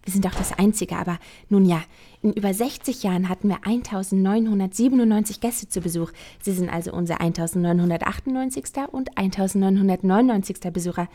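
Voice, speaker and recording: Casting: actress as officious village hotel receptionist for radio play, dark soft timbre, formal, rambling, over-detailed. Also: female voice, medium pitch, fast talker, lightly acted. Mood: formal, dutiful. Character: officious, long-winded, literal-minded female small-town hotel receptionist. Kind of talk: German audio drama acting.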